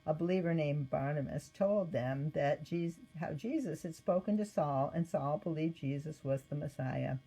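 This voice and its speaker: Female storybook narrator: lowish voice, soft-edged and a little husky, expressive scripted read. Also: moderate pace, few pauses, faint music behind, poor audio. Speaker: storybook reader.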